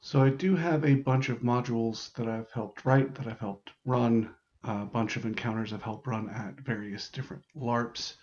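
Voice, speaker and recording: A man's voice: medium pitch, steady tone, normal speed, a little mellow, lo-fi sound. A man giving an improvised, casual tutorial as a game host, informally thinking aloud in loose phrases. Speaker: host explaining how to write larp game modules.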